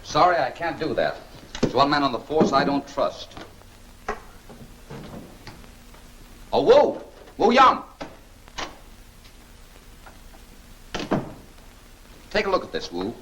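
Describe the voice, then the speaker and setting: A secret crime-fighting hero of an old film serial. His delivery is assured and authoritative with very lively intonation, over a clearly noisy vintage soundtrack. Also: fairly high pitch, moderate pace, exaggerated voice. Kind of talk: 1940s film serial acting.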